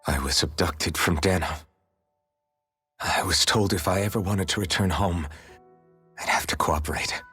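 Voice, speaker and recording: A troubled young warrior from a fantasy game, with a low, heavily husked voice. He speaks in a subdued, halting way, earnest and burdened, with soft music underneath. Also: slightly rough, heavily acted. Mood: haunted, bitter. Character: troubled, earnest young male warrior haunted by his past. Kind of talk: anime-style video game voice acting.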